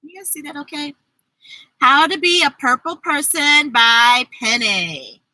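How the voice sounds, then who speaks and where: A woman reading picture books aloud to children in an exuberant, playful way, with drawn-out words, sound effects and chatty asides. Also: high voice, pushed and bright, no room sound, poor audio. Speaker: children's storybook reader and host.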